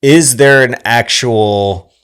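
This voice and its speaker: A man's voice: medium pitch, takes it slowly, a bit bright, smooth. A man co-hosting a podcast, talking casually about films in a musing, circling way. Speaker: podcast co-host discussing films. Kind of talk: casual film discussion.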